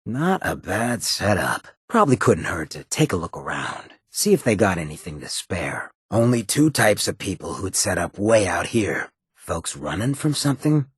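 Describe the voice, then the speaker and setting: An actor voicing a cocky, roguish, streetwise ghoul companion in a video game, with a very raspy, gravelly voice and a sly, laid-back swagger. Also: male voice, deep voice, sing-song, normal speed, no echo, studio quality, exaggerated voice. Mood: wry musing.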